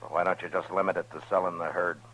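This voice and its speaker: An actor playing a firm, weary, fair-minded US marshal in a radio western, blunt, laconic and authoritative. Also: male voice, low voice, flat delivery, quick pace, a bit bright, breathy edge. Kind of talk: 1950s radio western acting. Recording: dull old radio recording.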